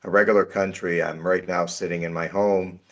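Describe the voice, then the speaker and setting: American man, low voice, home office video call, measured and repetitive phrasing. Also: flat delivery, a bit bright, highs cut off. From Israel. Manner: measured, repeats words while searching for phrasing. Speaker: potential client. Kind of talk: casual video call conversation.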